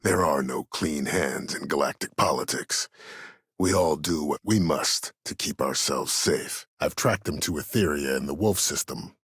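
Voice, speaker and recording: An actor playing a cold, proud, older former war admiral in a video game, speaking formally and with measured authority in a gravelly, husky voice. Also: male voice, very deep voice, a bit bright, dry recording, studio quality, heavily acted. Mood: measured, calculating. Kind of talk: dramatic video game dialogue.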